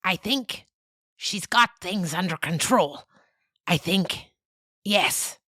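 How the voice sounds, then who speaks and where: A woman voicing a weary, halting male ghost. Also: fairly low pitch, lively intonation, sharp tone, no echo, clean studio sound, full character voice. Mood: weary, unsure. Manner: halting, talking to himself. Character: weary male ghost creature, muttering and unsure. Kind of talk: storybook character voice.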